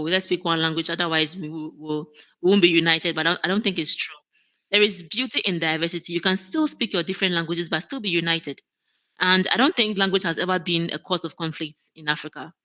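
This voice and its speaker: A woman's voice: fairly low pitch, pushed and bright. A woman guest in an interview, speaking earnestly with frequent restarts and easy laughter. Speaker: guest, operations manager. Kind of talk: conversational interview.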